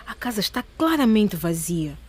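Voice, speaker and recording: A woman's voice: mid-range voice, very animated, moderate pace, breathy edge. An actress playing a young woman on a stakeout, weary and complaining. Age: young adult.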